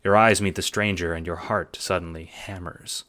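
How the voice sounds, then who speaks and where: Deep-voiced male audio drama narrator. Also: fairly even intonation, normal speed, pushed and bright. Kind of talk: scripted second-person audiobook narration.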